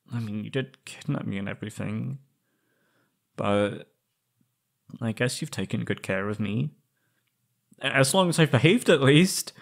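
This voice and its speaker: A man's voice: medium pitch, gravelly, heavily acted. A man playing a timid, frightened young male captive in an ASMR roleplay drama, stammering nervously and hesitating. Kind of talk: ASMR roleplay audio drama, intimate monologue.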